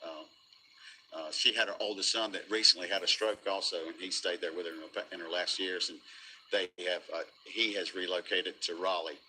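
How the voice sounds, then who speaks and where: Low-voiced, halting American local man. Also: steady tone, pushed and bright, poor audio. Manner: halting, hesitant, repeats words. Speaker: local resident and business owner being interviewed. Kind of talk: casual eyewitness interview. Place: outdoors at a storm damage site. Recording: outdoor interview with faint noise.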